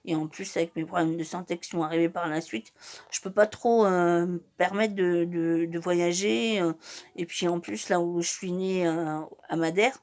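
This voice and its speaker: A woman's voice: lowish voice, steady tone, normal speed, a little mellow. Hesitant woman in her forties. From Madeira, Portugal. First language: Portuguese. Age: 40s. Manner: hesitant, many fillers and restarts. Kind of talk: casual personal monologue.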